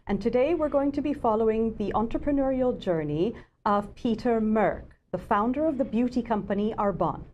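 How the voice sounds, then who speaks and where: A woman's voice: on the high side, normal speed, few pauses, darkish tone, highs cut off, slight background noise. A woman hosting an interview, asking polite and friendly questions. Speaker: host.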